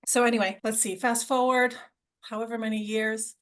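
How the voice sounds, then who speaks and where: A woman's voice: fairly high pitch, steady tone, moderate pace, a bit bright. A middle-aged woman originally from Argentina, telling her story as a podcast guest in an enthusiastic, conversational way, often repeating words as she restarts.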